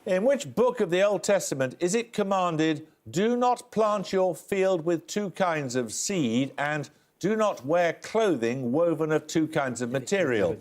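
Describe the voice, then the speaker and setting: Brisk quizmaster with a lively voice. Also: male voice, fairly high pitch. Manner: crisp, brisk question reading. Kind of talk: quiz show hosting. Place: television studio.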